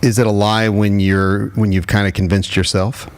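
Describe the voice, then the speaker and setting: Male co-host, early 50s, low and very gravelly, dry joker in relaxed conversation. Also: moderate pace. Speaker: podcast co-host. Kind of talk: casual chat.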